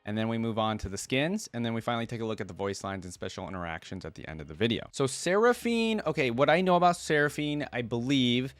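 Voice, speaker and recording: A man's voice: mid-range voice, animated, quick pace, hardly any pauses, no echo. A man hosting a fan reaction video, talking casually and thinking aloud as he reads and comments. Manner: thinks aloud, hedging and musing. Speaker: host of a fan reaction channel.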